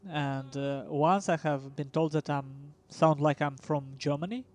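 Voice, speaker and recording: A man with a Russian accent casually commentating a strategy game match, talking haltingly with many ums and uhs and small laughs. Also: a little high, steady tone, darkish tone. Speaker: esports commentator.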